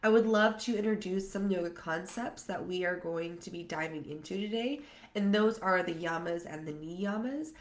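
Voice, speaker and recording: A woman in her thirties, congested from a cold, hosting a live yoga workshop and talking haltingly with many fillers. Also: on the low side. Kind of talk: live online workshop talk. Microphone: computer mic on a live stream. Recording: echoey room.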